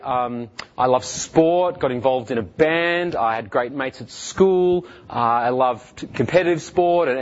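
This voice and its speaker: An Australian man in his thirties, a guest speaker telling his life story in earnest, halting speech full of fillers and restarts. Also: fairly high pitch, lo-fi sound. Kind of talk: personal testimony talk.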